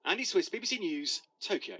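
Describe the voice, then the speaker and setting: High, animated male news reporter. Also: brisk. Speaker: TV sports correspondent. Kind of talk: scripted news report narration.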